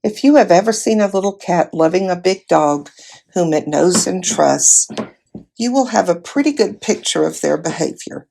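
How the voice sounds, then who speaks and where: A woman reading a children's novel aloud as expressive narration. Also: on the low side, normal speed, gravelly. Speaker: volunteer storybook reader.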